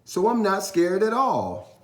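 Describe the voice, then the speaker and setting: A man voicing an eager little boy in a children's storybook, earnest and animated, nervous but boastful. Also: high voice, a little slow, voice pushed up, heavily acted. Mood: boastful, stalling.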